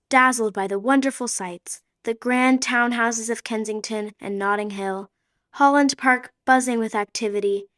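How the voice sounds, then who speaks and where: A woman giving clear, descriptive scripted narration for a radio drama. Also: on the high side, fairly bright tone.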